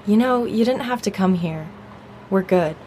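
A woman's voice: mid-range voice, normal speed, darkish tone, a little hiss or hum, natural voice. A woman playing an easygoing, forgiving young friend in an audio drama, with a casual, teasing manner. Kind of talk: naturalistic audio drama acting.

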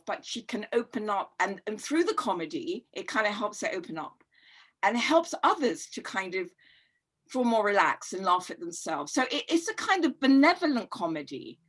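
Middle-aged female podcast guest; warm, reflective and rambling, with a casual conversational delivery. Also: mid-range voice, breathy edge.

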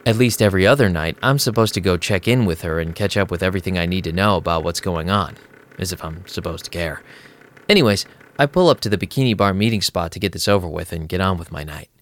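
An actor playing a young vampire DJ who narrates an audio drama in a casual, streetwise and sarcastic way. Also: male voice, deep voice, fast talker, natural voice. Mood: wry, casual. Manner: casual, wry. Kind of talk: first-person audio drama narration and dialogue. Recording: music behind the voice.

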